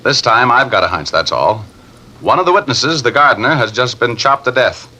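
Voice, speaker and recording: An American actor playing a wry, confident private detective in an old-time radio drama, brisk and commanding. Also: male voice, medium pitch, animated, pushed and bright.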